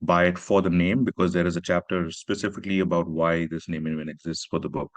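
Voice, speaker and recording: A man from Pakistan talks about his work as an author in an earnest, reflective way. His voice sits very low. The sound is dry and close, with almost no room echo. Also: level tone, fast talker. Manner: earnest, reflective, frequent "you know" and "right". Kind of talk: conversational literary talk. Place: literary festival stage.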